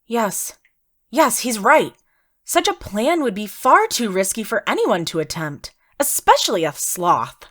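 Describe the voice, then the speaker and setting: Woman doing a serious rabbit prosecutor, kinda husky, higher voice, faint music in the back. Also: sing-song, fairly bright tone, heavily acted. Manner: formal, measured courtroom speech.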